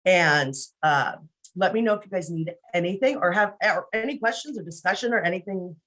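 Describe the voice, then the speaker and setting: Casual presenter talking thru a screen share on a video call, medium pitch, chatty, keeps checking in. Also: fairly quick, pushed and bright. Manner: conversational, often checking with "right?". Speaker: presenter giving accessibility training.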